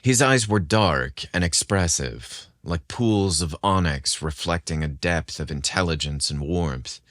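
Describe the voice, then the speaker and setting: A man who hosts a podcast, narrating scripted stories in a measured, theatrical way. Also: sits low, animated, a bit bright, no echo, studio quality.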